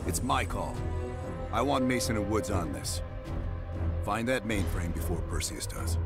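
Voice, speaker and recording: An actor playing a cold, decisive government official who speaks in a measured, authoritative way in a game cutscene. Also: male voice, lowish voice, animated, loud music behind the voice. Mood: stern.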